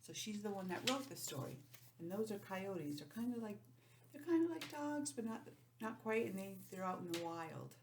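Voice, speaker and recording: A woman teacher giving an informal, encouraging lesson to young children, sounding out letters and counting deliberately. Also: medium pitch, big pitch swings, noisy background. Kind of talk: children's lesson, read-aloud introduction.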